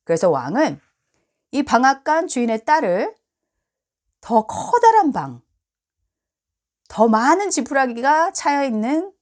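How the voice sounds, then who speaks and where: A woman reads a children's tale aloud. Her delivery is expressive and very unhurried, and her pitch moves up and down freely. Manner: expressive, deliberate storytelling. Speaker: storybook reader. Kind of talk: bilingual children's story read-aloud.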